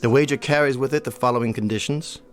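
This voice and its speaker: An actor playing a haughty, contemptuous old colonel in a radio drama, speaking in a formal, precise and disdainful way. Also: male voice, medium pitch, quick pace, natural voice. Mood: formal, challenging.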